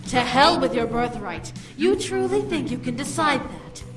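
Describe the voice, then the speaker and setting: A woman's voice: high-pitched, expressive, a little slow, over music. A woman playing a haughty demon noblewoman in a visual novel game, with an imperious, mocking, theatrical delivery. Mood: indignant. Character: haughty female demon noble, scorned fiancée and would-be queen.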